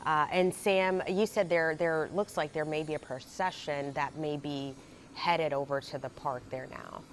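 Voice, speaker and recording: A woman's voice: low-pitched, steady tone, a little slow, slight background noise. A woman anchoring live breaking news coverage, talking conversationally with frequent fillers and restarts.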